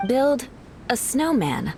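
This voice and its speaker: Poised, haughty lady knight, lively intonation. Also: a little high, slow, vocal fry, slight background noise, exaggerated voice. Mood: composed, taken aback. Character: proud, haughty, secretly soft young noblewoman knight. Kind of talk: video game character acting.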